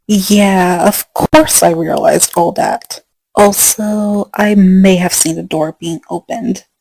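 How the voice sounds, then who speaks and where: A woman's voice: mid-range voice, fairly even intonation, takes it slowly, gravelly, warm tone, no echo, poor audio. A woman voicing a sporty, tomboyish female pegasus pony in a fan comic dub, blunt and matter-of-fact.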